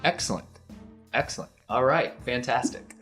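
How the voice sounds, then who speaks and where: A man's voice: mid-range voice, moderate pace, a bit bright, soft background music. A man hosting and narrating a tabletop role-playing podcast as game master.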